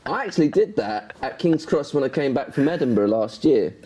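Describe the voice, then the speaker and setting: A man's voice: medium pitch, very animated, fairly quick, over a noisy crowd. A man who is a comedian, chatting casually, rambling with many restarts and bursts of laughter. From Northern Ireland. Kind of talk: casual chat.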